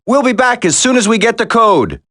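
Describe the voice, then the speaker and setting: An actor playing an earnest, determined young special-forces soldier in a dramatic video game. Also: male voice, very high-pitched, big pitch swings, fairly quick, a bit bright, no room sound, studio quality, exaggerated voice. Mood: focused.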